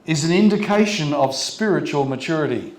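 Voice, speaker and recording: A man's voice: a little high, animated, fairly quick. Older Australian man preaching in an echoey hall. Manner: gentle, speaks in short phrases for translation. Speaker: visiting pastor. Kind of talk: sermon through an interpreter. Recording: roomy hall echo.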